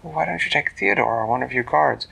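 Storybook girl heroine male reader, bright, direct, unfussy and plucky. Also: medium pitch, fast, creaky, husky, exaggerated voice, poor audio. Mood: concerned. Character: matter-of-fact, plucky young girl adventurer.

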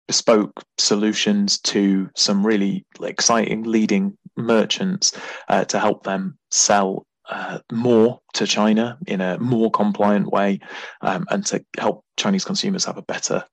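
A man's voice: fairly low pitch, gravelly, dry recording, low-quality recording. A man explaining his company's work as a director and interview guest. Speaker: client services director, podcast guest.

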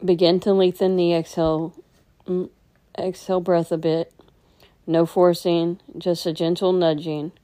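Female meditation guide: deep register, very even delivery, gentle and unhurried. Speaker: meditation teacher.

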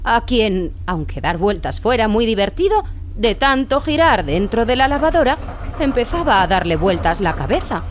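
A woman's voice: on the high side, sing-song, normal speed, slight background noise. A woman narrating a children's radio tale playfully, repeating words for effect. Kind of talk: scripted children's story narration.

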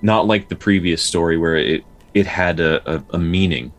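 Male podcast co-host, very low voice, casual but studious folklore explainer with a halting, word-repeating delivery. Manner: halting, with repeated words and stutters. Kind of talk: casual explanatory discussion of folktales.

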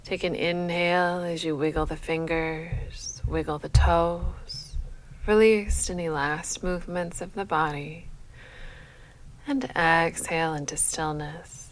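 A woman leading a guided sleep meditation as a yoga nidra guide, speaking in a measured, soothing, unhurried manner. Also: on the low side, low-quality recording. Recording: faint steady background noise.

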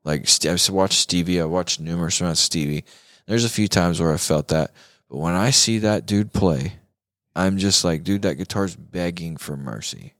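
Deep-voiced man, casual show host. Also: moderate pace, fairly bright tone, dry recording, studio quality. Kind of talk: casual guitar talk show chat.

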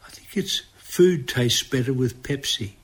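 A man's voice: mid-range voice, measured pace, slightly rough. An Australian man co-hosting a podcast in casual chat, with a teasing, opinionated, joking manner. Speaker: podcast co-host.

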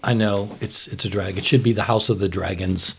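A man's voice: fairly low pitch, fairly even intonation, a little fast, gravelly, slight background noise. A man co-hosting a podcast, telling things in a loose, rambling, conversational way and repeating himself. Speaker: podcast co-host. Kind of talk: casual conversational storytelling.

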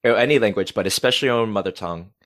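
An energetic man, a podcast guest talking casually and swearing freely. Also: lowish voice, racing. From United States. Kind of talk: casual conversation.

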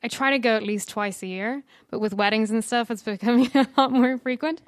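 An Irish woman from Dublin, in her thirties, talking as an interview guest. Her voice is smooth and clear and she rambles happily with little fillers. The sound is close and dry, with no room. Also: on the high side, brisk, no echo. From Ireland. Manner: chatty, warm storytelling with fillers. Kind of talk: casual interview chat.

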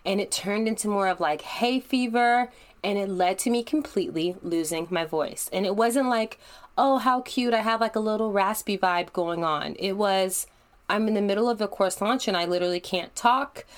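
A woman's voice: medium pitch, normal speed. A young adult woman hosting her own podcast, talking in an upbeat, conversational and encouraging way. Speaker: podcast host. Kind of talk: solo podcast monologue.

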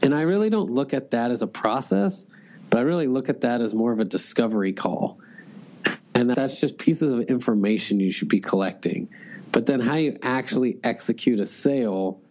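A man's voice: medium pitch, normal speed, darkish tone. A man in a casual interview, speaking loosely, with lots of "like" and restarts. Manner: loose, filler-heavy, frequent "like". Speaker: sales executive guest.

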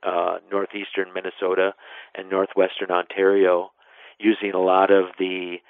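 A middle-aged man explains things conversationally in an interview. His voice is low and gravelly, coming over a narrow phone-like line. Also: completely flat delivery, normal speed, no room sound. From west central Wisconsin, United States. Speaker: interview guest, national park superintendent.

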